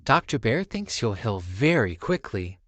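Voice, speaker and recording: A woman voicing a steady, reassuring turtle father in a storybook, speaking matter-of-factly. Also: rumbling low, measured pace, dry recording, pitched below the performer's own voice, full character voice.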